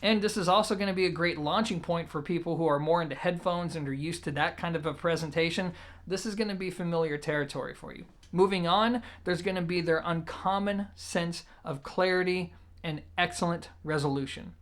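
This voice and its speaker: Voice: mid-range voice, fairly quick. A reviewer explaining audio gear in a conversational, methodical way with informal asides. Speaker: product reviewer, show host.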